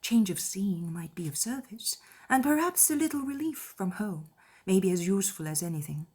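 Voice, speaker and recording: A woman voicing a kindly, sensible middle-aged aunt, breathy and measured, in a dramatized classic novel. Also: mid-range voice, very animated, warm tone. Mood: sympathetic, concerned.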